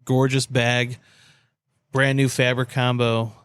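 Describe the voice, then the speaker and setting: A man's voice: mid-range voice, little pitch movement, takes it slowly, fairly bright tone, clear, resonant tone, no echo, studio quality. A man in casual conversation as a podcast co-host. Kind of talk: casual chat.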